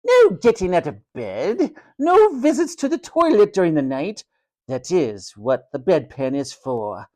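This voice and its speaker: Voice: medium pitch, wildly swooping pitch, unhurried, a little mellow, pitched above the performer's own voice. A storyteller voicing a cruel, sneering hospital matron, a domineering woman who snaps and mocks. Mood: stern, snapping. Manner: snapping, mocking, sarcastic, domineering.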